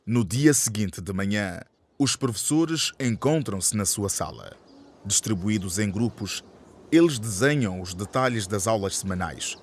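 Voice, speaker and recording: A man's voice: fairly low pitch, expressive, measured pace. A man narrating a radio drama in a scripted, scene-setting style. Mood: descriptive. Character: male narrator of a school radio soap.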